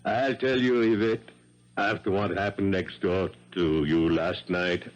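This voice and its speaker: A worldly, hard-drinking sailor in a vintage radio drama. His voice is deep, with an easygoing, flirtatious, teasing manner. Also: steady tone, a little slow, lo-fi sound. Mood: flirtatious, mocking. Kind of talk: 1970s radio mystery acting.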